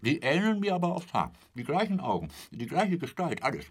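Husky, wildly animated chatterbox salesman. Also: fairly high pitch, fairly quick. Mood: chummy, nosy. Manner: chatty, rambling storyteller with a pet polite phrase, correcting himself. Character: garrulous, boastful travelling salesman, crude yet chummy family man. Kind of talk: German radio drama acting.

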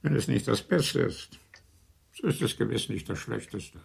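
A man acting a calm, reassuring older family doctor in a radio play, measured and matter-of-fact. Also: mid-range voice, creaky, breathy edge, exaggerated voice. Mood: thoughtful, accepting.

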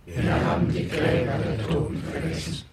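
An actor playing a mournful citizen, intoning measured litany and prayer in a radio play. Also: male voice, fairly high pitch, steady tone, close to an everyday voice. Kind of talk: liturgical chant-like radio play delivery. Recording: echoey room, loud music behind.

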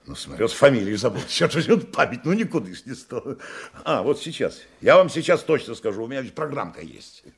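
An actor playing a talkative, cultured provincial hotel guest in a Russian radio play, exclamatory and slightly pompous. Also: male voice, mid-range voice, big pitch swings, a little fast, husky, heavily acted. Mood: flustered, searching.

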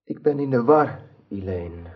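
Husky, slow, troubled knight. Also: male voice, mid-range voice, lively intonation. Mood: troubled, sorrowful. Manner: grave, earnest. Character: troubled honourable knight, torn and sorrowful. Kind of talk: radio drama acting. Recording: dull lo-fi old radio recording.

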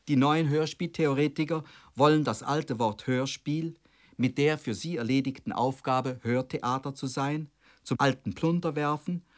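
A man with a medium-pitched voice delivers a scripted lecture for radio. He speaks as a commentator, in a formal, measured manner. His long sentences are carefully and precisely phrased.

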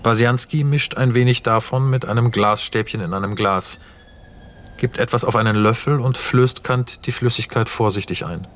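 A man's voice: medium pitch, moderate pace, fairly bright tone, slight background noise. A man narrating scripted stage directions for a radio play in a precise, matter-of-fact way. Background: music.

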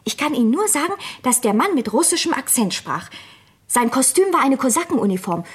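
An actress playing a rich, breezy young lady, lively and slightly exasperated, in a German radio crime drama. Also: sits high, fairly quick, breathy edge, heavily acted. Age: young adult.

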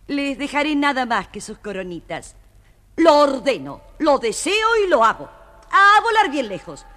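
A woman's voice: sits high, fairly bright tone, heavily acted. A woman playing a domineering, wicked witch queen and stepmother in an audio fairy tale. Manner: imperious, commanding. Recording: slightly noisy vintage story recording.

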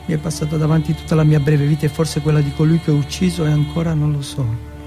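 Man for theatrical monologue: mellow, highish voice, halting delivery, faint music underneath. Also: little pitch movement, gravelly. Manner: halting, repetitive short phrases with dramatic pauses. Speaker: monologue performer. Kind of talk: dramatic first-person theatrical monologue.

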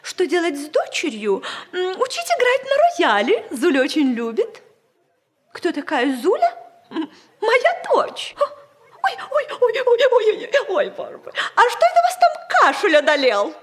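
Casting: coquettish middle-aged matron, very high swooping voice, gushing, giggly, for vintage radio comedy. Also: female voice, wildly swooping pitch, measured pace, heavily acted. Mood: fluttery, excited. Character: flirtatious, theatrical, much-married Polish mother. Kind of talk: 1960s radio comedy acting.

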